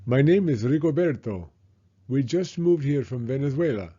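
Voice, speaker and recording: A man's voice: medium pitch, lively intonation, moderate pace, dark tone, slightly rough, highs cut off. A man voicing a friendly young schoolboy in a children's storybook read-aloud.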